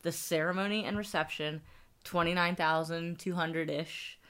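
A woman's voice: fairly low pitch, fairly even intonation, moderate pace, a bit bright. A middle-aged woman co-hosting a casual podcast, chatty and bubbly, laughing often. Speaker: podcast co-host. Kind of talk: casual chat.

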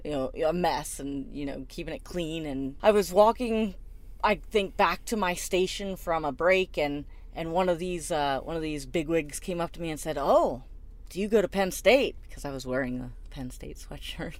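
A middle-aged woman with a low-set voice co-hosts a podcast in a relaxed, chatty way. She rambles through a personal story, full of fillers and false starts, and laughs easily. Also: normal speed. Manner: rambling, laughs often, many fillers and restarts. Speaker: podcast co-host. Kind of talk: casual storytelling chat.

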